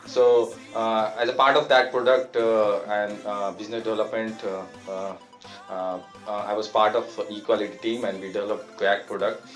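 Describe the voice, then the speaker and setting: A man's voice: lowish voice, flat delivery, moderate pace, roomy sound, soft background music. A young man from India, a master's student, talking about his team with many hesitant fillers. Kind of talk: interview, team story.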